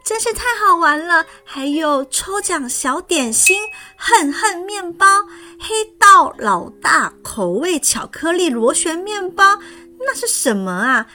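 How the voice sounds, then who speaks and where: A woman's voice: sing-song, slow, full character voice. A woman reading a storybook aloud and voicing a timid young schoolgirl who is afraid of water, with a wide-eyed, exclaiming manner. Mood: excited. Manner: wide-eyed, exclaiming, higher and brighter than the narration. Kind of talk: storybook character voice. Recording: faint music underneath.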